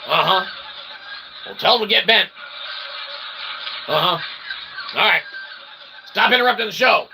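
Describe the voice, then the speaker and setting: Over clearly noisy livestream audio, a man delivers a rambling comic monologue. His voice sits a little high for a man and has a gravelly, creaky edge. He talks with mock pomp, piling up inflated invented words and stock tags. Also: expressive, measured pace, pauses often. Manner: repeats stock catchphrases and tags like "okay?". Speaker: streamer and channel host.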